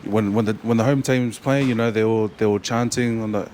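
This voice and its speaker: A young man with a New Zealand accent, a rugby player chatting as a guest in a casual interview, halting and full of fillers and restarts. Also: fairly low pitch, steady tone, darkish tone, a little hiss or hum.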